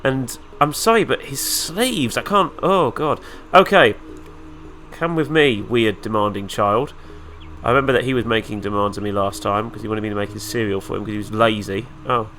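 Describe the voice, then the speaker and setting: A man talks over his gameplay in a loose, chatty way, his voice stuffed and nasal from the flu. His tone is bright and forward, and he drops dry, sarcastic asides. Soft music plays under him. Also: lowish voice, normal speed. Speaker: gaming YouTuber. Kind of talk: casual let's play commentary.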